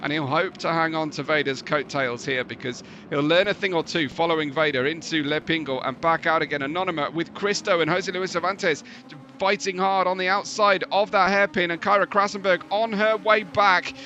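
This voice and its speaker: Gravelly-voiced guy calling a sim race on stream, bright punchy tone, slightly muffled audio. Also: a little high, moderate pace, few pauses, slight background noise. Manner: chatty, laughing asides, excitable during race action. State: gravelly, tired voice. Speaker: race commentator. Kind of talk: sim racing live-stream commentary.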